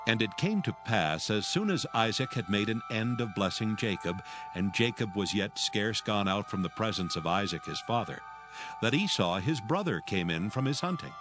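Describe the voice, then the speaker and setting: A man narrating a dramatized scripture reading in a measured, solemn storytelling manner. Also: fairly low pitch, sing-song, over music.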